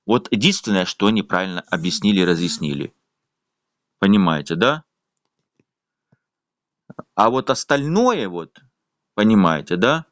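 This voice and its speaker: A man's voice: on the low side, expressive, moderate pace, long pauses, fairly bright tone, no room sound, highs cut off. A man with a voice, complaining at length in a casual, rambling video monologue full of fillers. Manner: indignant, rambling, with frequent fillers. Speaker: vlogger.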